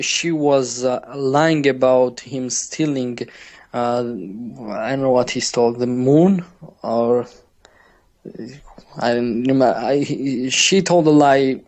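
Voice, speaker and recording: A man's voice: mid-range voice, takes it slowly, a little mellow. A man with a Georgian accent, a native Georgian speaker, chatting as a podcast guest in English. He searches for his words and restarts his sentences often. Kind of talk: casual interview chat.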